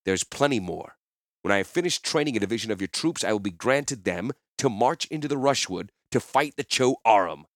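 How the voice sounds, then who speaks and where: A man's voice: lowish voice, animated, a little fast, no room sound, clean studio sound. A man voicing a swaggering, defiant warrior hero who speaks in a commanding way in a fantasy audiobook. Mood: firm bargaining. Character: boastful, defiant legendary male warrior hero.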